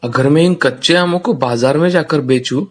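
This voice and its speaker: A man's voice: a little high, normal speed, lightly acted. A man voicing a polite, earnest, hardworking young village farmer in a cartoon story. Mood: thoughtful. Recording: music under the voice.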